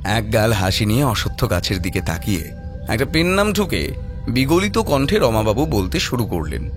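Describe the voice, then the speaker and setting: A man's voice: on the low side, big pitch swings, moderate pace, music in the background. A man narrating a scripted horror story in a dramatic storytelling style.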